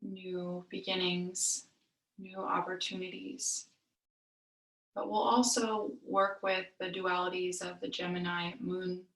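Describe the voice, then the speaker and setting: A woman leading a guided meditation as a yoga nidra teacher, soothing and unhurried, with long pauses between phrases. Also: fairly low pitch, creaky, a bit bright. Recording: roomy echo, distant mic.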